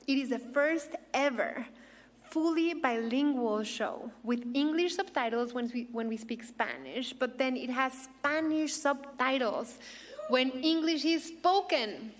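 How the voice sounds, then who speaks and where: A middle-aged woman with a Mexican accent, hosting an awards ceremony with a prepared speech and playful asides. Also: sits high. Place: large hall. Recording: long reverb of a big hall.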